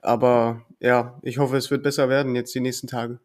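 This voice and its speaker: A man with a cold, a guest on a podcast, answering calmly with hesitant filler pauses. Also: mid-range voice. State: has a cold. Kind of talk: podcast interview.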